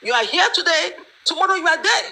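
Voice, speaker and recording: Woman lecturing on a panel, bright tense voice, lots of ums. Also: extremely high pitch, expressive, quick pace, gravelly. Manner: emphatic, lecturing with many fillers. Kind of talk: opinionated online discussion.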